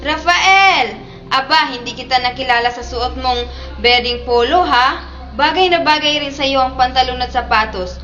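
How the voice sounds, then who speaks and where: Radio drama nanny played by a woman: high, coaxing, fussing delivery, dull echoing sound picked up far from the mic. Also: normal speed, pushed and bright, faint music behind. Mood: doting, cheerful. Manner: doting, coaxing, projected. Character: caring, fussing family nanny. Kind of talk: amateur radio drama acting. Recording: echoey, distant, dull lo-fi recording.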